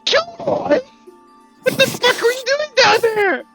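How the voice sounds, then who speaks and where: Man playing a brusque female commander, bright-voiced. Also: high-pitched, a little fast, soft background music. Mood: sharp, incredulous. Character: brusque woman guard commander, sharp and commanding.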